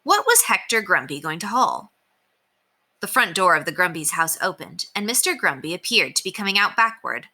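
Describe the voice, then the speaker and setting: Woman, children's story narration, sharp bright tone, lively swooping intonation, emphatic delivery. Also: fairly low pitch, moderate pace. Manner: expressive, emphatic. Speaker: storybook reader. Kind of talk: expressive read-aloud narration of a children's book.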